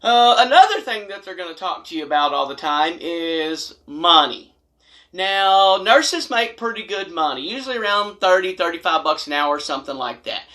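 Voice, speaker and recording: American male vlogger for comic advice monologue: folksy and blunt, animated emphasis, bright forceful tone. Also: high voice, normal speed. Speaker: video host telling stories and advice. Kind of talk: humorous storytime monologue to camera.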